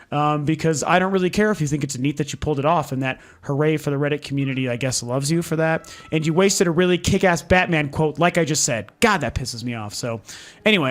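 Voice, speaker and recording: A man with a voice sitting a little above the usual male range speaks hoarsely, his voice worn down. He hosts his own game vlog in a loose, candid way, venting his frustration and swearing without holding back. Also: on the high side. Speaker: video game channel host. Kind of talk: casual ranting vlog. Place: lives in Denver.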